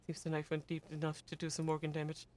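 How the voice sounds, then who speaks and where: A woman voicing a serious older male police director in a visual novel, reading quietly and gravely. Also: low-pitched, monotone, fast talker, voice pushed down, natural voice.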